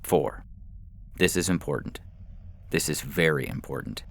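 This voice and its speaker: Very deep, gravelly male story reader. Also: measured pace. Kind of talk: literary short story narration. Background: faint background music.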